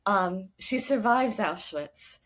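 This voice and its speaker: Woman historian chatting, thoughtful, stops and restarts a lot, mid pitch. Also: lively intonation, takes it slowly, a bit bright. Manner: earnest, thoughtful, frequent restarts. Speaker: guest historian. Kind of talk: casual conversation.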